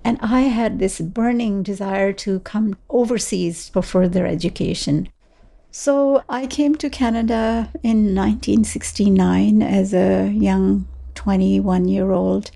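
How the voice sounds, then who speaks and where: Woman, 70s, from Kashmir; reflective interviewee, gravelly mellow tone. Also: medium pitch, fairly even intonation, slow pace. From Kashmir, India. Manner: reflective, with frequent fillers and restarts. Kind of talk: personal storytelling.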